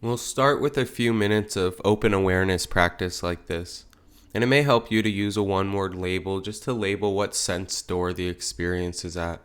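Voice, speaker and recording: A man leading a guided meditation as a teacher, speaking in gentle, unhurried phrases with pauses. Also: deep voice, clear, resonant tone.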